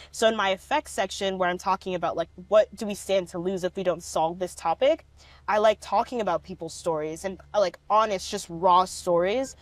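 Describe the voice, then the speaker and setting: A woman's voice: mid-range voice, no echo. A young woman in a casual interview, often laughing and filling her speech with "like". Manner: laughing often, many "like" fillers. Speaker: documentary subject, speech competitor.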